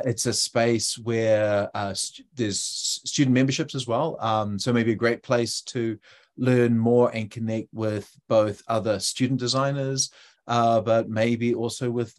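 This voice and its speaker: A man with a New Zealand accent and a fairly low voice gives an informal talk over a video call. His phrasing is conversational and a little hesitant, as a guest designer explaining his work. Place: indoor room on a video call.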